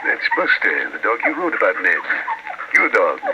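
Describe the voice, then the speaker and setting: An actor playing a wealthy, protective older uncle, emphatic and authoritative, in a 1940s radio drama. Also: male voice, lowish voice, huge pitch swings, moderate pace. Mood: expectant. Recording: hissy old radio recording.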